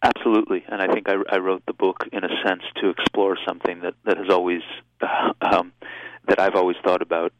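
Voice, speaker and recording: A man born in Israel and raised in the United States, speaking, gives measured, reflective answers as an author in an interview, with frequent restarts and ums. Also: low-pitched, level tone, dry recording, low-quality recording.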